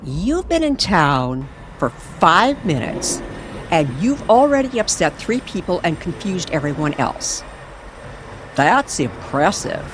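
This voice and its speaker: A woman playing a sceptical, sharp-tongued reporter with a sardonic, pointed way of talking in an audio drama. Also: on the low side, sing-song, slow pace, noisy background. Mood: sardonic, probing. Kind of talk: old-time radio style drama.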